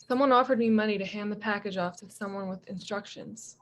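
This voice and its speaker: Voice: mid-range voice, a little fast, fairly bright tone, a little hiss or hum, close to an everyday voice. An actor playing a battered young hustler in a screenplay table read, scared and defeated, with slangy fatalistic talk. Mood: pleading, resigned.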